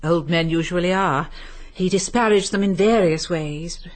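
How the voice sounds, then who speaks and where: A woman playing a genteel, guarded English upper-class lady in a radio drama, speaking politely and with measured composure. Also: lowish voice, natural voice. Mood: composed, evasive.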